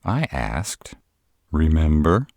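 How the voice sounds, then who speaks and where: A man's voice: extremely low pitch, big pitch swings, slow, gravelly, darkish tone, no room sound, voice pushed up, full character voice. A man playing a nervous, sarcastic male vampire in a roleplay audio, stammering and hesitant, easily exasperated. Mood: defensive, flustered.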